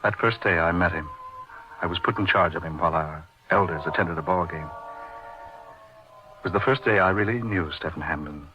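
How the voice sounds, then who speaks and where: An actor playing an intense middle-aged surgeon in an old-time radio horror drama, recounting his uncanny friend with deliberate, emphatic repetition. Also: sits low, natural voice. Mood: grave, reminiscing. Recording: dull lo-fi radio recording, music behind.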